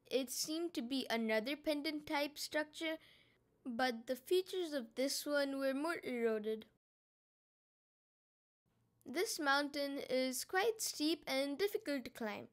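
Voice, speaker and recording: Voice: sits high, slow pace, dry recording. A young-sounding female vlog host, explaining and instructing in a casual travel-vlog style.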